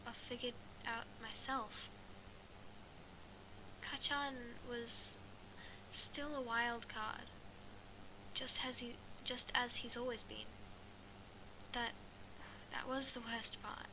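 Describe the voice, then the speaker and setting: High-voiced woman reading aloud, noisy recording. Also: little pitch movement. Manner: halting, stumbling and rereading phrases. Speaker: amateur fan fiction reader. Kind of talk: reading a first-person story aloud.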